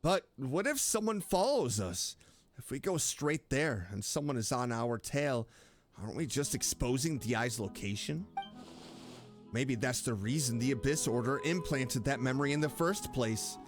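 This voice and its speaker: A man doing casual live gameplay commentary, expressive, reacting aloud and talking to the characters. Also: medium pitch, normal speed, over music. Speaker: game streamer.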